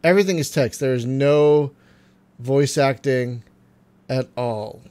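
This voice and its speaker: A man getting over a cold, phlegmy and clearing his throat, chatting casually through a game with many ums and trailing remarks. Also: medium pitch, slow pace. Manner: chatty, frequent ums and uhs, trailing off quietly. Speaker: gaming video host. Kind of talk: casual let's-play commentary.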